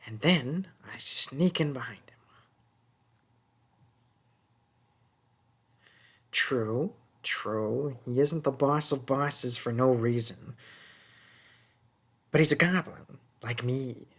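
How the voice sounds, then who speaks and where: Performer acting a goblin thief, fantasy audio roleplay, mocking, wheedling, breathy and raspy, unhurried, whispery. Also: medium pitch, fairly bright tone, exaggerated voice. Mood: sly, mocking. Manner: taunting, haggling, drawn-out wheedling. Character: sly mocking goblin thief, small and sneaky.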